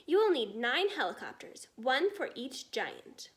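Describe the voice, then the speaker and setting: Woman as a composed, commanding queen, high-pitched. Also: very animated, fairly bright tone, heavily acted. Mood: commanding, tactful. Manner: brisk and polite authority.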